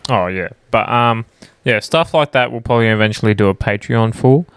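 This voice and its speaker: A man's voice: lowish voice, a little fast, a bit bright, clear, resonant tone, dry recording. A young Australian man co-hosting a podcast in casual chat, with a laid-back, sweary, slangy way of talking. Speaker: podcast co-host. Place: smallish room in a home.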